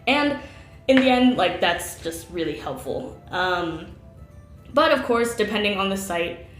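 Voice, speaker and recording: A woman's voice: medium pitch, expressive, a little slow, echoey room, soft background music. A woman chatting casually in an interview about how she learned a language, laughing often and using lots of fillers.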